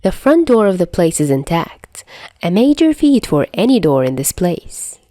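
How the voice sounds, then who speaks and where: A woman's voice: fairly low pitch, sing-song, normal speed, warm tone, no room sound. A woman reading a scripted story as an amateur audiobook narrator. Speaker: amateur fan fiction narrator.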